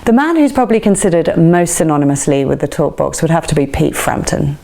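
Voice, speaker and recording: A woman presenter reading a scripted radio-style feature in a measured, presenterly way. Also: deep voice, vocal fry, warm tone. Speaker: presenter of a rock music feature.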